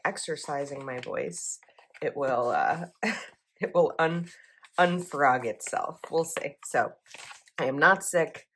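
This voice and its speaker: A woman's voice: low voice, a bit bright, slightly rough. A young woman vlogger chatting casually as she decorates a planner, her voice a little froggy this morning, rambling with likes and ums.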